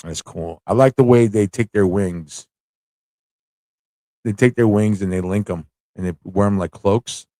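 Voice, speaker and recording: A man's voice: on the low side, measured pace, dark tone, no room sound. A man hosting a livestream in a casual, rambling way, thinking aloud and repeating phrases as he goes. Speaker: livestream show host.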